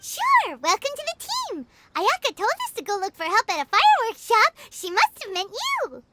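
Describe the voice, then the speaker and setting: Squeaky, excitable little fairy companion. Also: female voice, moderate pace, very noisy, heavily acted. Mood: excited. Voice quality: squeaky, childlike. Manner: cutesy, exclamatory, refers to self in third person. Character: tiny floating childlike female companion, excitable and indignant. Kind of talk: animated video game voice acting. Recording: loud game music behind.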